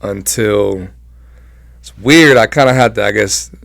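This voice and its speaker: A young American man with a low-set voice and plenty of vocal fry. He tells personal stories in a loose, slangy, conversational way as a guest, captured dry with little room sound. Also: a little slow, a bit bright, dry recording. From Southern California, United States. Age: young adult. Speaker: interview guest. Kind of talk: casual personal storytelling.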